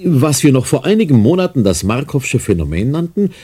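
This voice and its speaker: An eager, calculating scientist lays things out in a radio play. The voice is husky and mellow with lively swings in pitch. The manner is officious, pausing to search between points. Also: male voice. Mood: insistent, eager. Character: eager, calculating male research scientist addressing his chairman. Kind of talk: radio drama acting.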